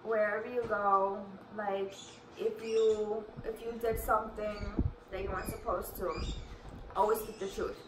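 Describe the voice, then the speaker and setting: A woman's voice: mid-range voice, little pitch movement, a little slow. A young woman from New York with a New York accent touched by Colombian Spanish, chatting loosely with fillers and restarts as a family vlog mother. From New York, USA. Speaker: vlogging mother. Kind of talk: casual family Q&A. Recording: roomy, distant, noisy home recording.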